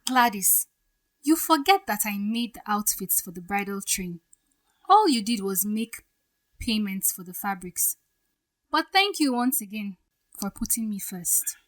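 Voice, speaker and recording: A woman's voice: mid-range voice, animated, measured pace, natural voice. A woman playing a defiant, wounded young bride-to-be, speaking firmly and pointedly in a radio drama. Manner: firm, pointed.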